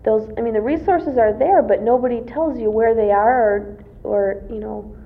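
A woman's voice: fairly high pitch, normal speed. A woman in her twenties, a college student answering interview questions casually, with many fillers and restarts. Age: 20s. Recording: tinny phone-like lo-fi recording.

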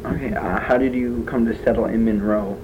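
A man's voice: lowish voice, steady tone, fairly quick. A man interviewing, asking hesitant questions with many fillers. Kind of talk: oral history interview. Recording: dull lo-fi recording with faint hiss.

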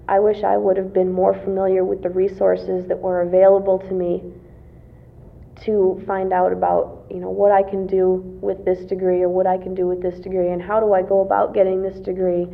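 Hesitant young woman, gravelly voice. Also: mid-range voice. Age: 20s. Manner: hesitant, many fillers and restarts. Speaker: college student interviewee. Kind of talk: casual interview answers. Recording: tinny phone-like lo-fi recording.

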